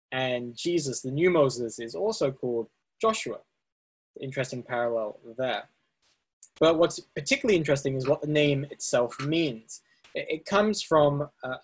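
A man's voice: a little high, husky, low-quality recording. A man teaching a Bible study session, explaining step by step with fillers and restarts, speaking to slides on a screen. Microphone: presentation recording with on-screen slides.

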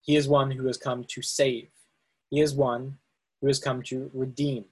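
Husky man teaching a Bible lesson. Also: medium pitch, little pitch movement. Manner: measured, explanatory, with frequent fillers and restarts. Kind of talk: teaching a Bible study session. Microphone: presentation recording with on-screen slides.

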